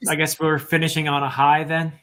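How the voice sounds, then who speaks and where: A man's voice: on the high side, monotone, brisk, a bit bright, clear, resonant tone. A man chatting casually as a participant in a book discussion. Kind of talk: casual chat.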